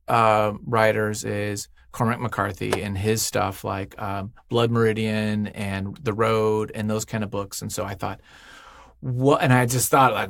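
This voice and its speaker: A man's voice: medium pitch, fairly bright tone, dry recording, clean studio sound. A man chatting casually as an interview guest, telling things in a rambling way and acting out imagined quotes. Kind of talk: casual chat.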